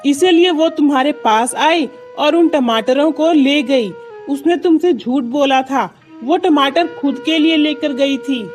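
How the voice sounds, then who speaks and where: A high-voiced, kindly magical tree from a children's cartoon, played by a woman. It talks like an earnest, reassuring friend, explaining things patiently, with music playing behind. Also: unhurried, hardly any pauses. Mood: grave, consoling. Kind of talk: children's cartoon story dialogue.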